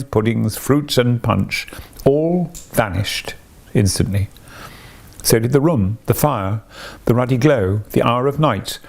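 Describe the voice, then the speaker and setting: A man reading a classic story aloud as scripted narration, in a dramatic, deliberate storytelling manner. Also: mid-range voice, creaky, a little mellow. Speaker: audiobook narrator.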